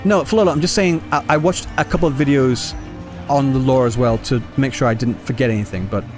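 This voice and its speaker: A man's voice: mid-range voice, fairly quick. A man livestreaming a game, talking casually to viewers in a rambling, stop-start way, on a close mic. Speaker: game streamer. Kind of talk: casual livestream chat answering viewer comments. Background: game music.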